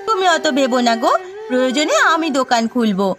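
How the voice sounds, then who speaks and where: A woman's voice: high voice, sing-song, fast talker, background noise. A woman playing a dutiful, caring young village daughter in a cartoon, earnest and resolute in a melodramatic style. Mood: pleading. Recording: music behind the voice.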